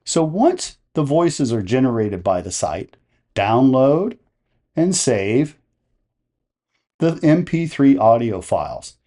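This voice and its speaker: A man presenting a software tutorial, explaining each step in a methodical, plain-spoken way. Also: mid-range voice, sing-song, slow pace, pauses often.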